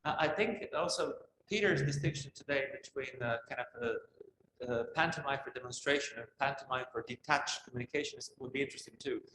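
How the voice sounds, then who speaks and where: A man in an unscripted academic discussion, thinking aloud in a halting way as a conference participant. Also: mid-range voice. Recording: roomy, clearly noisy live hall recording.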